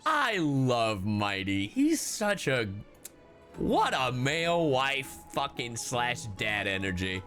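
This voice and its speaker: A man livestreaming his reactions to a video game in a casual, excitable, sweary way, with game music behind. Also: medium pitch, slow. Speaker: streamer.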